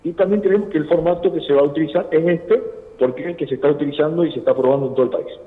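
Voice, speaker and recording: A man's voice: a little high, racing, dry recording. Argentine man on a tinny phone line. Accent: Argentine Spanish. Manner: earnest, explanatory, asks for agreement. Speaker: nightlife business representative. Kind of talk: phone interview. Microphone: phone call.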